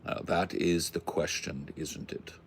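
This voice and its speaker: A man voicing a refined, languid English gentleman, speaking in a musing, drawn-out, wordy manner. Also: low voice, fairly even intonation, vocal fry, a little hiss or hum, voice pushed down. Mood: coy, pondering.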